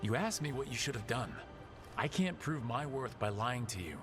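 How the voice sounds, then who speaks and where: Casting: male actor as determined young-hero type for a fantasy game, direct, earnest, mid-pitched, music clearly underneath. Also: animated, normal speed, natural voice. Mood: firm, frank. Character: earnest, determined heroic male protector pleading his case. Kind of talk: dramatic video game acting.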